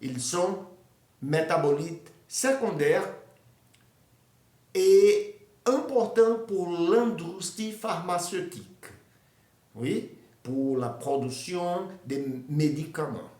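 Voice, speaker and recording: Man, Brazilian Portuguese accent, non-native speaker, halting with many restarts, slightly high voice, roomy echo. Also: darkish tone. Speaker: botany presenter. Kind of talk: educational talk in a learned language.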